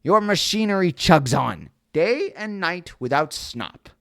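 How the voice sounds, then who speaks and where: Someone putting on a shrill cranky little creature. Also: sing-song, slow pace, a bit bright, no echo, clean studio sound, heavily acted. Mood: scolding. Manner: scolding, pleading, rhyming. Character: small cranky male forest creature.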